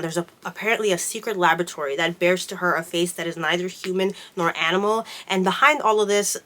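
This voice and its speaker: A woman's voice: lowish voice, pushed and bright. A woman getting over a cold, sounding congested, chatting casually about her books with lots of fillers. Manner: chatty, rambling with frequent fillers. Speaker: book vlogger. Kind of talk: casual chat.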